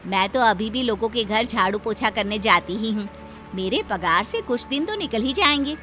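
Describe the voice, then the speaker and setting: A woman voices a devoted, hard-up housemaid wife in a cartoon story. Her voice is bright and on the high side, with an earnest, anxious manner. Light music sits underneath. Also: expressive, moderate pace. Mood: reassuring. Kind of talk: Hindi moral cartoon story dialogue.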